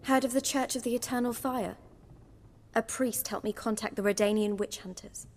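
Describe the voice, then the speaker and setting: Casting: young devout runaway in fantasy game, fairly high voice, earnest, defiant, bitter; long hall reverb. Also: female voice, steady tone, normal speed, a little mellow. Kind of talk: video game dialogue acting.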